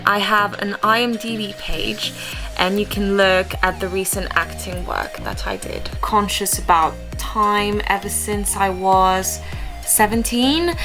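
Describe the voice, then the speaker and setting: A young woman in her twenties, from France, talks through a list of facts about her life in an earnest, measured way, announcing each one by number. Her voice sits at a middle pitch for a woman. Clear background music plays under the voice-over. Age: 20s. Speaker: vlogger. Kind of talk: reading a list of personal facts.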